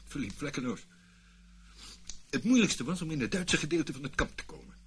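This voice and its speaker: An actor as an animated, self-justifying ex-army officer. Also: male voice, on the high side, normal speed, lightly acted. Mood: boastful. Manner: boastful storytelling, hesitant and defensive when challenged. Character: evasive, self-justifying British male ex-army officer, disgraced swindler. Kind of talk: Dutch radio play acting. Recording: noisy old radio play recording.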